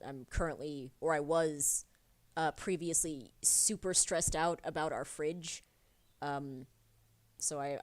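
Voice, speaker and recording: Rambling woman with a low voice. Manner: rambling, with many fillers and restarts. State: sniffly from a cold. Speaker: artist streaming a drawing session. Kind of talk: casual chat while drawing.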